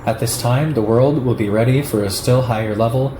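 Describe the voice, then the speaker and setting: A man reading a political text aloud in a measured, declarative way, like an audiobook. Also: medium pitch. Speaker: reader of a political essay. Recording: echoey room with clear background noise.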